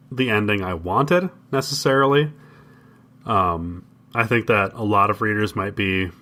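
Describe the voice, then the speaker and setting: Male podcast co-host, low but bright, forward voice, measured and halting, conversational. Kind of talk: casual comics discussion.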